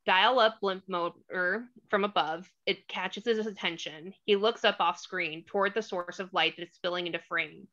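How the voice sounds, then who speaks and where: A woman reads screenplay directions in a forceful, lower-pitched voice. She sets each scene crisply and dramatically, over slightly dull, lo-fi call audio. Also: moderate pace, few pauses. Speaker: stage directions narrator. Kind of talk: scripted screenplay narration.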